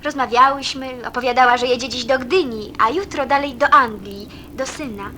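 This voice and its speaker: An actress playing an eager, chatty, warm-hearted young woman in a radio play, lively and girlish. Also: sits high, moderate pace, a little hiss or hum.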